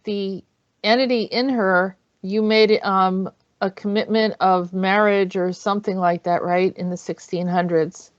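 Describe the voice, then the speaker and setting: A woman's voice: medium pitch, fairly even intonation, unhurried, dry recording. Woman, online host. Conversational, rephrases often, mid-range voice, close dry sound that is a little dull. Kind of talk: casual conversational hosting. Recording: dry, slightly dull audio.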